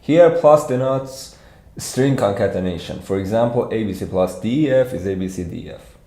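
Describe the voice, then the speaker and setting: A man from Iran with a Persian accent, giving an informal livestreamed walkthrough as a programming instructor, thinking aloud haltingly. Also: fairly low pitch, lively intonation, warm tone. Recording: echoey room.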